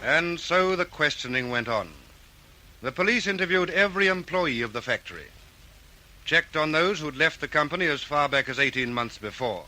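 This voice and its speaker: A man narrating an old radio crime serial, in a crisp, formal, dramatic style. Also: a little high, expressive, normal speed, music in the background, close to an everyday voice, poor audio. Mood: earnest, suspenseful. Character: earnest male crime-case narrator.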